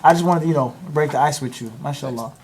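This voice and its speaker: A man with a slightly high voice teaches his class, teasing his students and sprinkling his talk with Arabic phrases. Faint noise runs under the recording. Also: fast talker, darkish tone.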